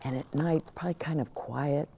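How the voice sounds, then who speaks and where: A woman reading a children's story aloud in an expressive, dramatic way, talking directly to young listeners. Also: deep voice, brisk, a little hiss or hum. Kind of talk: expressive picture-book read-aloud.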